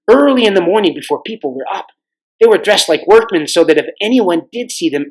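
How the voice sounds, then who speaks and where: An eager schoolboy adventurer, played by a man reading a storybook. He chatters and explains informally, his pitch rising and falling in a lively way. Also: natural voice, rough recording.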